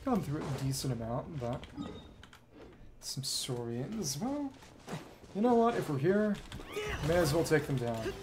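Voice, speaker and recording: A man giving casual gameplay commentary as a streamer, thinking aloud in short, halting fragments. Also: a little high, big pitch swings, noisy background. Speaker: game streamer. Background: video game music.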